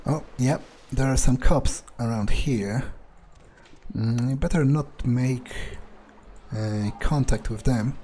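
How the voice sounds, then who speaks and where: A man's voice: medium pitch, takes it slowly, dark tone, slight background noise. A man improvising in-character video game commentary, thinking aloud in a musing, hesitant way and swearing freely. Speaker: let's player role-playing in character.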